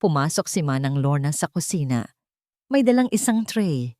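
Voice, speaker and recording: A woman with a Filipino accent reading a scripted first-person story as an audiobook narrator, measured and dramatic. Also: low-pitched, sing-song, mellow, no echo, studio quality.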